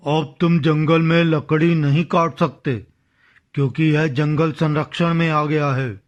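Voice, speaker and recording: A man voicing a stern, authoritative forest officer in an animated moral story, firm and lecturing, in slightly dull audio. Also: fairly high pitch, a little slow, natural voice.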